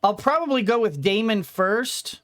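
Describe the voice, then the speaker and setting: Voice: medium pitch, lively intonation, normal speed, fairly bright tone. A let's play streamer giving casual game commentary, rambling and thinking aloud.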